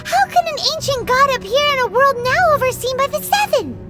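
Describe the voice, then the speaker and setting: Squeaky, excitable little fairy girl. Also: fairly quick, loud music behind the voice. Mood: alarmed, puzzled. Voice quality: squeaky, childlike. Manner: exclamatory, blurting, refers to self by name. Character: tiny excitable floating fairy companion, girlish, indignant. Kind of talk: animated video game character acting.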